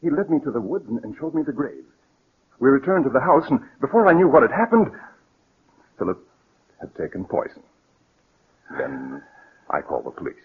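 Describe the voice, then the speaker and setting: An old, narrow-band radio recording carries a guilty man hiding behind a worried front. His voice is very husky and swings widely in pitch. He hesitates and stammers through his lines in melodramatic 1940s style. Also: wildly swooping pitch, moderate pace, long pauses, exaggerated voice. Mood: grave, shaken. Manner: hesitant, stammering, repeats words. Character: nervous scheming murderer posing as worried brother. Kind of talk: 1940s radio drama acting. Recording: muffled, scratchy 1940s radio broadcast.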